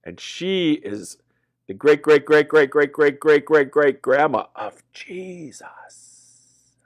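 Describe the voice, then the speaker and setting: A man reading a children's Bible story as a pastor, in a dramatic, expressive way with exaggerated emphasis. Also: fairly high pitch, slow.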